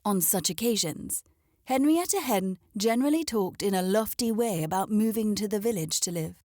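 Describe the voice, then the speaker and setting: A woman reading a scripted children's story aloud as an expressive narrator. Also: medium pitch, moderate pace, no echo, clean studio sound.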